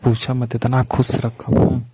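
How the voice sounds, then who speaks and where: A man's voice: mid-range voice, completely flat delivery, a little slow, mellow, husky. A young man with a Bhojpuri accent chatting casually on a phone call in a coaxing, persistent way. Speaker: caller. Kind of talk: casual phone chat with an ex-lover.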